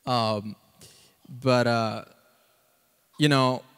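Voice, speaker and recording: A man gives an informal sermon and testimony into a handheld mic, halting and conversational, with lots of "like" and "you know.". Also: medium pitch, steady tone, a bit bright, smooth. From South Africa. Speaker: preacher. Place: church auditorium, on stage before seated congregation. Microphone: handheld microphone.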